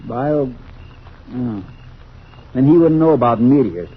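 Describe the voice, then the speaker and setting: A man acting in a radio drama as a folksy, eager fire lookout, husky and plain-spoken with a hesitant, homespun manner. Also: medium pitch, animated, slow. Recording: dull old radio drama recording.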